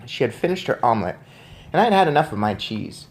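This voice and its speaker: Lively man reading a storybook aloud. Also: medium pitch, fast. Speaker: storybook reader. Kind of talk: expressive read-aloud narration.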